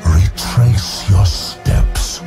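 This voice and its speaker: Ancient demon master: booming bass, extremely slow, impatient and menacing, over prominent music. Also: male voice. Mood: contemptuous. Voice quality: gravelly, booming, rattling. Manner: slow, domineering, drawn-out words. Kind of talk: fantasy audio drama.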